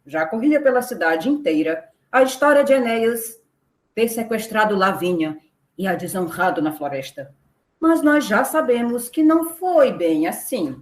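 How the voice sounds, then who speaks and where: A woman's voice: medium pitch, animated, moderate pace. A woman with a Brazilian accent narrating a scripted radio serial in a theatrical announcer style. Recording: roomy echo, music behind. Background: music.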